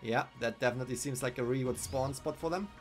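A man's voice: mid-range voice, fast talker, soft background music. A young man with a German accent casually commenting live on his game while answering chat, speaking into a headset in a small room. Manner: chatty, thinking aloud, answers chat. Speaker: streamer.

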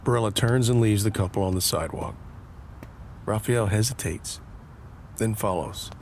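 A man's voice: on the low side, normal speed, slight background noise. A man narrating an audio drama from a script, describing scenes. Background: instrumental music.

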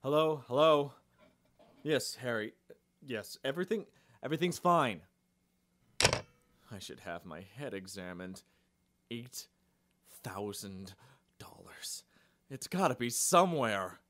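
A man acting in a radio drama as a muddled, anxious old uncle, halting and fretful. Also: medium pitch, big pitch swings, a bit bright. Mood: muttering, dazed. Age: older. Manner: halting, fretful, repeating himself.

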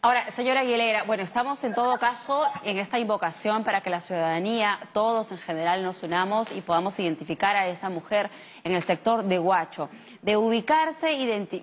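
A woman in her thirties from Peru, a news anchor asking questions in a live studio interview, earnest in tone. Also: mid-range voice.

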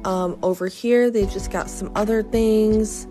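A woman's voice: medium pitch, steady tone, a little slow. A woman in her thirties with a stuffy nose, casually narrating a tour of a small cat cafe shop with lots of fillers. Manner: chatty, filler-heavy, upbeat and easygoing. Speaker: small business vlogger. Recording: voice over loud background music.

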